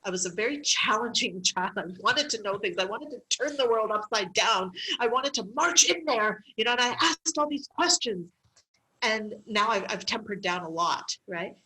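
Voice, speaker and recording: A middle-aged woman from Canada, a medical clown being interviewed, telling stories in a lively way with laughs and fillers. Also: fairly high pitch, normal speed, poor audio. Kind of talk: interview conversation.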